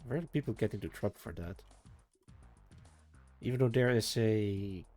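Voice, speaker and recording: A low-pitched man speaks softly on a home desk mic, sleepy and meandering. He mutters half to himself between remarks to his viewers. A faint energetic soundtrack plays under his voice. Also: moderate pace. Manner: soft, meandering, mumbles to himself, trails off. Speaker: streamer. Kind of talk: casual live-stream chat while working. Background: faint energetic soundtrack music.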